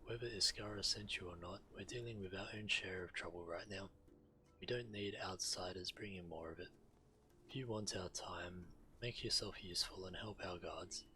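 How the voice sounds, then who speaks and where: A man's voice: low-pitched, bright, forceful tone. A man voicing a suspicious, scornful walrus-folk guard from a fantasy game, curt and challenging. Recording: clear background game noise.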